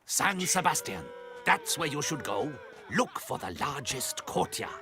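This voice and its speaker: An actor playing a flamboyant tournament herald in a fantasy video game, grandiose and declamatory. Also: male voice, a little high, big pitch swings, a little slow, background noise. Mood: informative. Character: flamboyant male tourney herald addressing lords and ladies.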